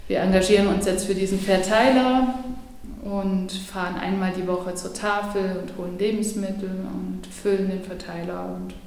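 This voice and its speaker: A woman's voice: medium pitch, steady tone, normal speed, gravelly, a little mellow, a little hiss or hum. Woman speaking in a very echoey room. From Germany. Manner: thoughtful, hesitant with fillers. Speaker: interviewee, church member. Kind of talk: interview answer.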